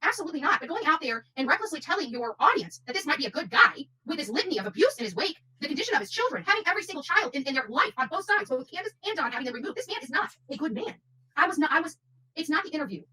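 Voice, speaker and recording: A woman's voice: high voice, big pitch swings, very fast, bright, forceful tone, poor audio. A woman doing unscripted livestream commentary, speaking emphatically and repeating phrases for stress.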